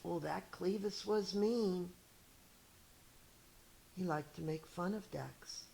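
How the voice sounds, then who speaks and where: Slow-reading middle-aged woman, lower-pitched voice. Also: creaky. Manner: deliberate, expressive storytelling to children. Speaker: elementary school teacher reading a story. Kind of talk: read-aloud of a children's picture book with chatty asides.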